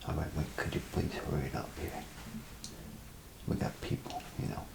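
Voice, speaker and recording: A man doing a soft-spoken, half-whispered ASMR role play as a chatty, grumbling bathroom attendant, rambling and hesitant in a folksy way. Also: very deep voice, flat delivery, normal speed, noisy background. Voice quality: gravelly, breathy half-whisper. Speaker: ASMR performer.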